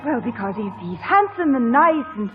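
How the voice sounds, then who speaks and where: A woman acting a willful, passionate young gentlewoman in a radio drama, breathless and melodramatic. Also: on the high side, very animated, moderate pace. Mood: excited, girlish. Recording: dull old radio broadcast, music behind.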